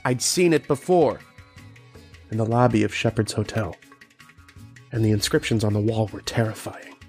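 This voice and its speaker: A male actor as a terrified scientist recounts his ordeal in an old-time horror radio play. His mid-pitched voice crackles with fry, and he speaks in dramatic, halting, repeated phrases. Faint music plays behind him. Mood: awed dread.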